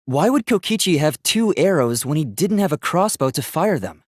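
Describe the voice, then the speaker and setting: K1-B0, an earnest, lively-pitched young robot. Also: male voice, moderate pace, no room sound, studio quality. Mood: insistent, logical. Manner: formal, precise, articulate, earnest. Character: polite, earnest, logical young male robot. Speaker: video game character. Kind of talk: English video game voice acting.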